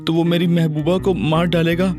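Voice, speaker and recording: A man's voice: on the high side, level tone, normal speed, music in the background. A man narrating an audio drama in first person and playing a cheeky, mock-innocent young hero.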